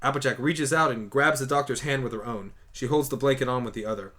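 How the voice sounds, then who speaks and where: A man's voice: mid-range voice, fast talker, fairly bright tone. A man reading scripted story narration for a fan audio drama.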